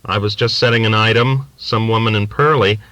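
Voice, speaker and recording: A weary son , played by a male audiobook reader, speaks in a very low, firm voice. He is guarded and plain, a working man who holds back as he talks. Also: steady tone, brisk, bright, forceful tone, no room sound, low-quality recording. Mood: defensive. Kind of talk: audiobook character dialogue.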